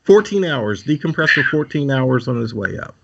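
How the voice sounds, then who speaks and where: A man in a casual live-stream chat, reading out facts with some halting. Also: mid-range voice, big pitch swings, gravelly, a bit bright, highs cut off.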